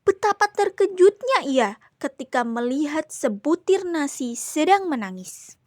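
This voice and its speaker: Young woman storyteller, high and unhurried. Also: big pitch swings, no room sound, clean studio sound. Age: young adult. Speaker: student storyteller. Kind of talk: children's storytelling narration.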